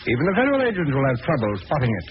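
An actor playing a gaunt, coldly menacing gang boss, brisk and commanding, in 1940s radio style. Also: male voice, medium pitch, wildly swooping pitch. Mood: satisfied. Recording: muffled, noisy old radio recording.

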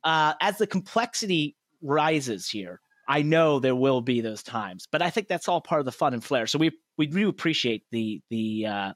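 A fairly high-voiced man hosting a podcast, cracking jokes and breaking into laughter between quick asides. His voice sits close and dry, with almost no room around it. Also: expressive, no room sound. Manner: jokey, laughs often, talks in quick asides. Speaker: podcast host. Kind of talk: casual chat.

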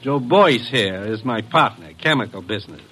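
A man's voice: on the low side, expressive, moderate pace, sharp tone, husky, full character voice. An actor playing a suspicious, bitter businessman and husband in an old radio drama, pointed and insistent. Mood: needling, suspicious.

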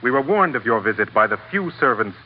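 An actor playing a commanding, menacing crook with a curt, domineering manner in vintage radio drama. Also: male voice, medium pitch, big pitch swings, fast talker, natural voice. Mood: curt, threatening. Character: commanding, menacing gun-toting crook running a chart deal. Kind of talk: 1940s-50s radio drama acting. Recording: dull, noisy vintage radio recording.

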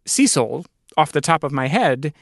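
A man hosting a reflective interview, thinking aloud in thoughtful, halting phrases. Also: a little high, big pitch swings, gravelly, no echo, studio quality. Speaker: podcast host and interviewer.

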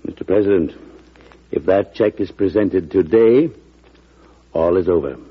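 Assured male banker, formal and firm, husky, precise delivery, dull vintage radio recording. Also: deep voice, measured pace. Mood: grave, firm. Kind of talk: old-time radio drama acting.

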